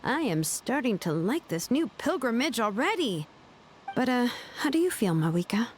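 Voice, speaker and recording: A woman voicing a relaxed, cheeky longtime companion in a video game, teasing and playfully defensive. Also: a little high, moderate pace, slight background noise. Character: laid-back, teasing woman, old companion of the leader.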